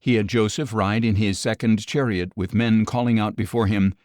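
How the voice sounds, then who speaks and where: Low-pitched man reading scripture, dry sound. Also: fairly even intonation, normal speed, a little mellow, dry recording. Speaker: audiobook narrator.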